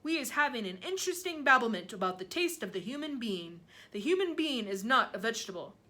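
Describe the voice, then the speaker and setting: A woman voicing a huge, boastful but friendly storybook giant who speaks emphatically in drawn-out, muddled comic grammar. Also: a little high, sing-song, sharp tone. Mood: blustering, opinionated. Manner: emphatic, drawn-out, mangled words.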